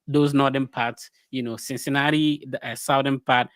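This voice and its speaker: A man's voice: medium pitch, steady tone, moderate pace, fairly bright tone, dry recording, studio quality. A man hosting a podcast, talking in a loose, rambling way and asking a guest questions. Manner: rambling, many fillers and restarts. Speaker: podcast host. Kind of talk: casual podcast interview.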